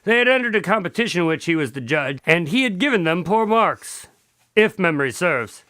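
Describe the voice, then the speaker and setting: An excitable, high-voiced detective's sidekick. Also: male voice, fairly quick, clear, resonant tone, no room sound, heavily acted. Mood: puzzled. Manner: animated, earnest, easily flustered. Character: excitable, genial male party host and detective's sidekick. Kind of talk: radio drama acting.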